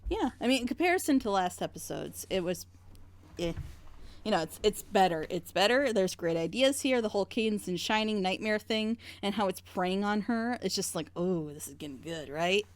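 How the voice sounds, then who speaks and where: A woman's voice: medium pitch, lively intonation, moderate pace. A woman hosting a casual group discussion, talkative and opinionated as she gives her critique. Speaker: host of a group reaction show.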